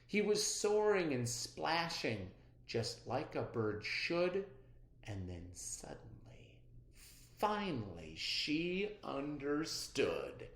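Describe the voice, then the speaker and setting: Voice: mid-range voice, sing-song, drawn-out words. A storybook reader narrating a children's read-aloud expressively, with dramatic emphasis. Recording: roomy, echoey sound.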